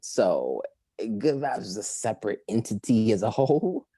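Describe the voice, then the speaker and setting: A man talking casually about his music in an interview, rambling and animated, his voice a little raspy. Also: lowish voice, normal speed, no echo. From Maryland. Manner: rambling, animated, breaks into song. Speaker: musician guest.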